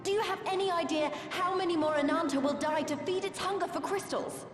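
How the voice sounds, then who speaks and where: A woman's voice: very high voice, a little fast, over music. An actress playing a fervent, plain-spoken young woman fighter in a video game, direct and heartfelt. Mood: indignant.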